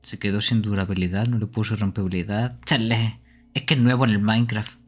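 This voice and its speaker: A man's voice: on the low side, fairly even intonation, a little fast, slightly rough, soft background music. A man streamer talking casually to his livestream audience.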